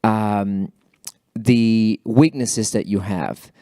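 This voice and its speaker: A man whose first language is Spanish, speaking as an alumni panelist at a college event in a halting, earnest way with many repeated words. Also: lowish voice, steady tone, dark tone, dry recording, clean studio sound. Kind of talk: panel discussion remarks.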